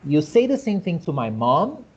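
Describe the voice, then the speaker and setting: A man's voice: very animated, moderate pace, husky. A man from Brazil with a Brazilian Portuguese accent, whose first language is Portuguese, explaining points animatedly in a casual discussion and often asking rhetorical questions. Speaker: host. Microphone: video call. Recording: muffled low-fidelity video call audio.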